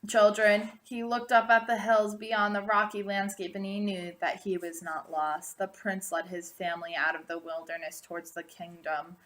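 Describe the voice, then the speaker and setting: Bright-voiced woman reading a storybook aloud. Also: mid-range voice, level tone, normal speed, few pauses, low-quality recording. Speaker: storybook reader. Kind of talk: read-aloud storybook narration.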